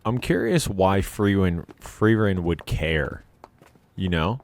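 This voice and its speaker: A man's voice: deep voice, expressive, slow. A man giving casual, off-the-cuff reaction commentary, informal and exclamatory with some swearing. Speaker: reaction video host. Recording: show soundtrack playing behind.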